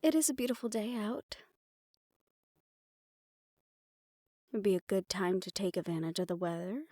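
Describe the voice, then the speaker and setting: Gentle, formal young fantasy noblewoman played by a woman. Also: medium pitch, expressive, dry recording, studio quality, close to an everyday voice. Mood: polite, hopeful. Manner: polite, formal, measured phrasing. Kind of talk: scripted audio roleplay addressed to the listener.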